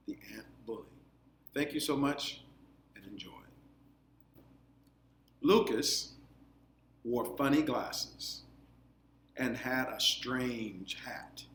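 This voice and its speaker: Male storyteller for children's read-aloud: medium pitch, unhurried explanatory delivery, echoey room. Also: a bit bright. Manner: deliberate, explanatory, drawn-out phrasing. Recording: echoey room, faint background noise.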